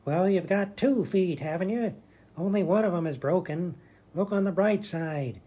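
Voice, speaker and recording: A woman's voice: on the low side, normal speed, husky. A woman playing a crabby, self-pitying old male hospital grump in a comedy radio sketch, blunt and dismissive. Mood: grumpy, dismissive. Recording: dull, muffled audio.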